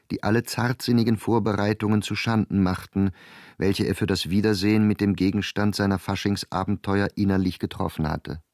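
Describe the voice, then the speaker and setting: Casting: male literary narrator, lowish and composed, dry studio sound, measured radio-drama storytelling. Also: a little mellow, dry recording.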